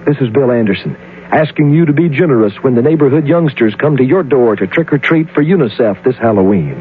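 Man making a charity appeal, earnest, tinny radio sound, faint hum. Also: medium pitch. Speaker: announcer. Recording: tinny old radio broadcast.

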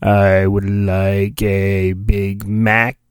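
Sinister old male chancellor, acted by a man, low drawn-out growl, deliberate menace, very slow, comedy parody. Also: completely flat delivery, smooth, dry recording, clean studio sound.

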